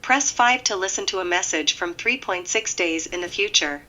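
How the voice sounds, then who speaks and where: Automated phone system voiced by a woman, crisp bright edge, dull lo-fi sound, deadpan and eerily calm, dark comedy. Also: lowish voice. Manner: deadpan, measured recitation. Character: eerie automated phone menu voice, calmly absurd.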